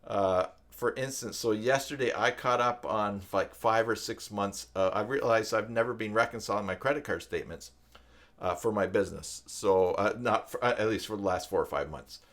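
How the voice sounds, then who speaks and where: A man's voice: fairly low pitch, normal speed, a bit bright. A man in his fifties hosting a live stream, talking in a casual, rambling, conversational way. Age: 50s. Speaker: live stream host and tutorial maker. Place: downstairs room at home.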